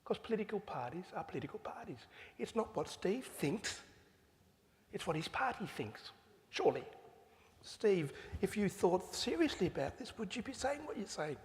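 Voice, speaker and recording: A man's voice: high voice, sing-song, moderate pace. Older aussie guy, fired up, keeps asking questions, big echoey cathedral. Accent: Australian. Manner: impassioned, rhetorical questions. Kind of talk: panel discussion. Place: large cathedral hall. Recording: long cathedral reverb.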